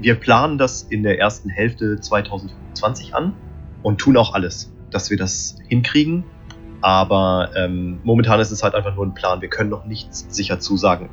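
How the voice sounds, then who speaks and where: A man's voice: on the low side, muffled recording. A man as a podcast guest, chatting eagerly and casually.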